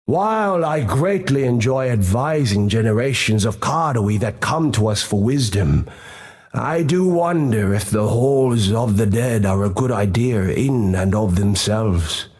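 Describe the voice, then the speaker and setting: Male actor as a doubting ancestral adviser, medium pitch, unhurried, formal, pensive, game dialogue. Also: animated, a little mellow, lightly acted. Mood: pensive, troubled.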